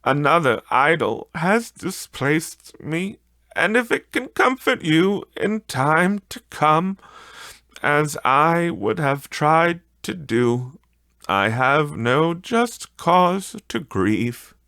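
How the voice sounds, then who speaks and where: A man voicing a gentle, sorrowful young Victorian woman in an audiobook, speaking in a deliberate, drawn-out way. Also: fairly high pitch, animated, no room sound, voice pushed up, exaggerated voice. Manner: soft, deliberate, drawn-out phrasing. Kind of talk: audiobook character voice.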